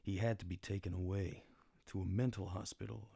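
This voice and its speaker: A man playing a world-weary, wry private detective, deadpan, narrating a noir radio drama. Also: sits low, brisk, gravelly, slightly rough, full character voice. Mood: dry, weary. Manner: deadpan, hardboiled. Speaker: narrator and lead actor.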